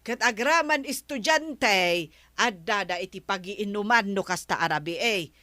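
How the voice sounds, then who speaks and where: A woman's voice: medium pitch, lively intonation, a little slow, sharp tone, no echo. A woman playing a chatty, gossipy village neighbour in a radio drama. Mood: gossiping.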